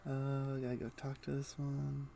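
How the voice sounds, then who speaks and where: A man's voice: mid-range voice, monotone, normal speed, creaky, mellow, a little hiss or hum. A man casually thinking aloud in short, muttered fragments as he streams his gameplay. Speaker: game streamer.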